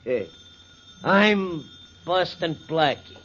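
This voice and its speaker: A man's voice: on the high side, animated, very slow. A male actor playing a cocky, smugly polite masked robber who commands and taunts, in 1940s radio crime drama style. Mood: smug, threatening. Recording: noisy muffled 1940s radio recording.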